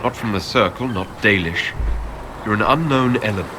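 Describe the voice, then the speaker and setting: Very deep-voiced, guarded mage hero played by a man. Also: moderate pace, natural voice. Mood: guarded, questioning. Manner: direct, questioning. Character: guarded, probing male mage hero questioning a companion. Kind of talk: video game dialogue. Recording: clear ambient noise behind game dialogue.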